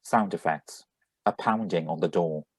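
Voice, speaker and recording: A man with a husky voice reading out script cues and stage directions. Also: low-pitched, a little slow. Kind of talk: flat scripted cue reading.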